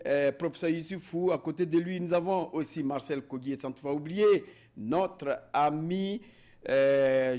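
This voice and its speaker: A man's voice: fairly high pitch, darkish tone. A man hosting a panel debate, introducing guests with many hesitations. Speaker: talk show host.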